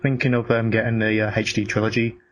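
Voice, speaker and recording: A man's voice: fairly low pitch, level tone, fast. Guy ranting casually, swears a lot, blunt opinions, bit of noise behind him. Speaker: podcast co-host. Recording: noticeable background noise.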